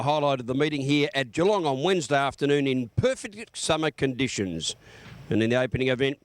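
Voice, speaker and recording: A man's voice: on the high side, no echo. A man with an Australian accent reading a scripted horse racing report.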